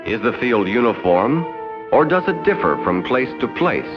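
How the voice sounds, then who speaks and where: An American man narrating a 1960s educational film in a formal, measured, no-nonsense way. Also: medium pitch, big pitch swings, over music. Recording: dull 1960s 16mm film soundtrack.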